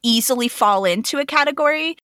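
Woman podcast co-host, bright and forceful tone, dry close mic, easygoing chatter with frequent laughs. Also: on the high side, animated, brisk, no echo. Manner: laughs often, reads listener questions aloud. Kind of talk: casual conversational podcast.

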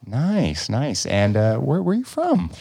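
A man's voice: medium pitch, huge pitch swings, unhurried, gravelly, mellow, breathy edge, no echo. A man hosting a podcast, chatting casually and upbeat with a guest, swearing now and then. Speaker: podcast host. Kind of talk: casual interview chat.

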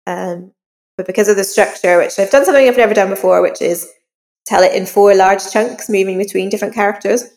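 A woman's voice: mid-range voice, a little fast, a little mellow, long reverb tail. A woman talking conversationally as a guest author in an interview.

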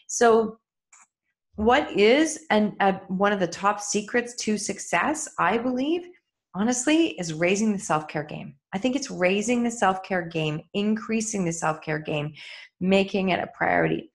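A middle-aged woman coach speaking candidly and earnestly to her listeners in a reflective solo talk, with soft laughs. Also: mid-range voice, animated, unhurried. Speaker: coach and author.

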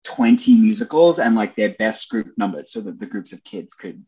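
A man's voice: on the low side, wildly swooping pitch, normal speed, warm tone, lo-fi sound. A young man, a Broadway performer, chatting as a podcast guest, enthusiastic and full of "like" and "you know" fillers. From Australia. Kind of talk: casual interview chat.

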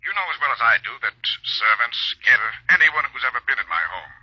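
An actor playing a rich older husband on the telephone in a 1940s radio drama, formal, hesitant and suspicious. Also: male voice, mid-range voice, animated, slightly rough, dry recording. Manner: halting, polite, formal. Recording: dull, dry vintage radio, phone call.

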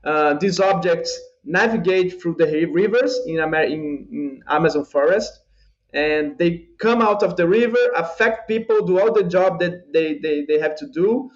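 A man with a Brazilian accent, a native Portuguese speaker, answering podcast questions as a guest, halting with many repeated words and restarts. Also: fairly high pitch, lo-fi sound. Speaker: guest UFO researcher.

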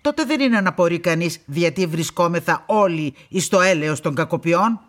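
A woman's voice: fairly low pitch, expressive, close to an everyday voice. An actress playing a stern, imperious upper-class woman estate owner in a radio play, curt and haughty, with formal old-fashioned phrasing. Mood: haughty, indignant.

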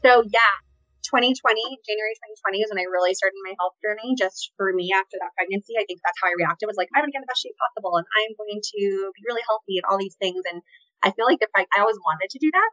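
A woman's voice: medium pitch, very fast, bright, forceful tone, dry recording, muffled recording. A woman in her late thirties telling a personal story in a candid, chatty way, with many "like" fillers. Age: 30s. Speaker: podcast guest.

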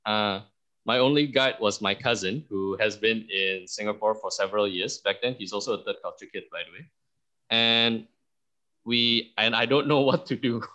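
A young man from Indonesia telling a story as a podcast guest, animated and full of restarts. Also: fairly low pitch, highs cut off. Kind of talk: storytelling in an interview.

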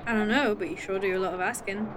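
A woman's voice: mid-range voice, fairly even intonation, close to an everyday voice. An actress playing a snarky, teasing woman time traveler with a fake arm in an indie audio drama. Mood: playful. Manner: dry, teasing, quick-witted.

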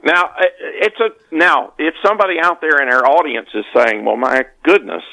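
A man's voice: on the high side, creaky, bright, forceful tone, rough recording. A man speaking as a guest author in an interview, explaining conversationally and often stopping to correct himself. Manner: halting, with repeated self-corrections.

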